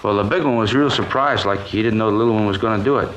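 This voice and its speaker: A man's voice: fairly low pitch, quick pace, fairly bright tone, close to an everyday voice, low-quality recording. A male actor playing a nervous, colloquial American kitchen worker giving a witness account in a 1950s radio crime drama. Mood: shaken. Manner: hesitant, colloquial, defensive. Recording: old radio recording with background hiss.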